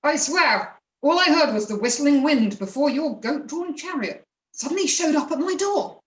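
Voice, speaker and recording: A woman's voice: sits high, expressive, normal speed. A woman playing a humble, puzzled middle-aged farmer, polite and hesitant, in a play reading recorded in an echoey room. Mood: astonished. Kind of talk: amateur dramatic play reading.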